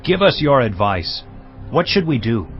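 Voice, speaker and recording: An actor playing a haughty, suspicious usurper prince in a dramatized audio Bible. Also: male voice, medium pitch, big pitch swings, measured pace. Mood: demanding. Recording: dull audio over music bed.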